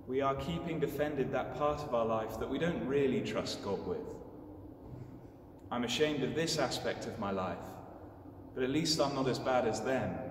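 A young clergyman preaches from a script in a measured, solemn way. His voice sits at a middling pitch and comes from a distance in a large, echoing church, with noticeable noise. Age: young adult. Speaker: clergyman giving a homily. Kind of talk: scripted sermon. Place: inside a large stone church.

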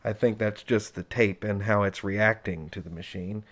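A man's voice: on the low side, fairly even intonation, normal speed, very noisy. A man giving a casual, unscripted, chatty demonstration of equipment, explaining as he goes with dry enthusiasm. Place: indoors at home, close-up tabletop demonstration.

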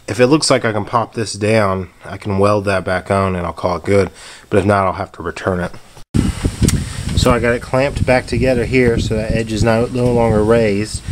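A man casually narrating his hands-on home repair in a laid-back, plain-spoken way. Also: fairly low pitch. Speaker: home DIY video maker. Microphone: handheld camera or phone behind the lens. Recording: noisy handheld camera audio with clicks.

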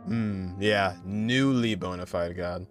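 A man's voice: deep voice, takes it slowly, faint music behind. A young man streaming casual game reactions in short, muttered exclamations. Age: young adult. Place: indoor room.

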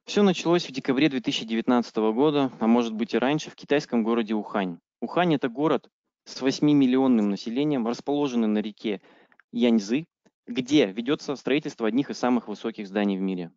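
A male interpreter relays a medical lecture sentence by sentence, halting on fillers and fixing slips as he goes. His mid-pitched voice comes through dry and close, with a dull lo-fi call sound. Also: a little mellow, dry recording. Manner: halting, with frequent fillers and self-corrections. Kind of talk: consecutive interpreting.

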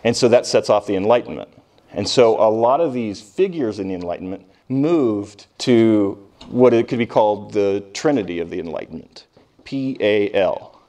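A man's voice: fairly low pitch, expressive, normal speed, warm tone. An American man giving an informal history lecture as a professor, conversational and often putting questions to his class.

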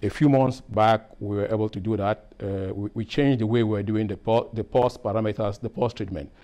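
A man's voice: lowish voice, steady tone, a little fast, dark tone. A middle-aged man from Cameroon, a process engineer, talking in an interview in a lab with a lapel mic, hesitant with many fillers and restarts. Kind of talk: workplace interview. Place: lab, indoors.

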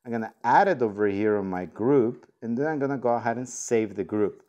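A man with a mid-pitched voice hosts a product tutorial. He talks informally, as in a casual how-to video. He explains things one step at a time. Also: fairly quick, darkish tone, muffled recording. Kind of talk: casual instructional walkthrough.

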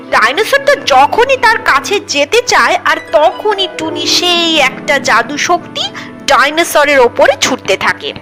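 A woman tells a children's cartoon story. Her voice sits high and moves up and down a great deal, in a dramatic storybook style. Music plays clearly behind her. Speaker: narrator of children's cartoon stories. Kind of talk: animated scripted storybook narration. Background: music under the voice.

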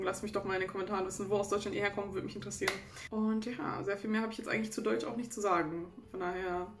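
A woman's voice: medium pitch, a bit bright, reverberant. A woman in her twenties from Germany with a German accent, chatting casually to camera as a vlogger, self-deprecating and laughing often. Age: 20s. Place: small bedroom indoors.

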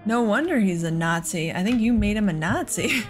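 A woman's voice: mid-range voice, expressive, normal speed, faint music behind. A woman hosting a casual let's play, with an exasperated, sarcastic way of talking. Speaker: gaming host.

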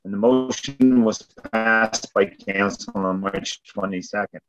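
A man's voice: on the low side, fairly bright tone. A man serving as deputy mayor, chairing a formal council meeting over a video call in a procedural manner. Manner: procedural, repeats "going once, going twice". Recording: muffled video call audio.

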